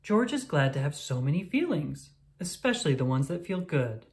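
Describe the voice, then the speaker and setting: Low-pitched, lively woman reading a storybook. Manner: expressive, deliberate storytelling for young children. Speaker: children's book reader. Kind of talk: scripted read-aloud narration of a picture book.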